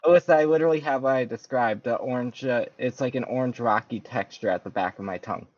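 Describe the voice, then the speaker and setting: Young male co-host, deadpan and flat, on a slightly dull lo-fi mic with a dry sound. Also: mid-range voice, fast talker, no room sound. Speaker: podcast co-host. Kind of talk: casual chat.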